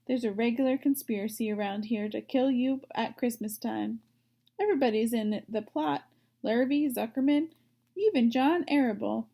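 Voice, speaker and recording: A woman's voice: fairly high pitch, moderate pace, creaky, dark tone. A woman voicing a gloomy, snappish old female sheep in a storybook, emphatic and matter-of-fact. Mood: blunt.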